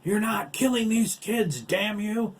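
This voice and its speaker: A man voicing a furious, desperate man shouting defiance in a storybook narration. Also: sits high, takes it slowly, far from the mic, voice pushed up. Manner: strained, shouted.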